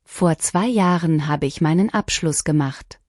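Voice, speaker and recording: Woman, low voice, dry close sound, clear deliberate lesson reading. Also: dry recording. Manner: clear, even, deliberate sentence-by-sentence delivery. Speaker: language lesson reader. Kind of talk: scripted shadowing practice reading.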